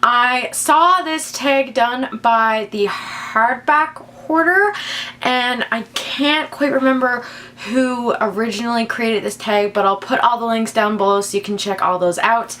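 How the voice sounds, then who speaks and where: A young woman with a somewhat high voice speaks as a book vlogger. She chats informally to her viewers in an enthusiastic, gushing way. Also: measured pace, bright, forceful tone. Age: young adult. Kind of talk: casual chat to camera.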